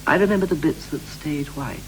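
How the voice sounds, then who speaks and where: An actor playing a restless, wistful husband in a 1960s radio play, speaking in clipped, rhythmic, theatrical phrases. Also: male voice, a little high, a little fast, close to an everyday voice, rough recording. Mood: playful, rueful. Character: John, restless husband wistfully recalling youth and marriage. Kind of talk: 1960s BBC radio play, poetic stylised dialogue. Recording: 1960s radio recording with hum, hiss.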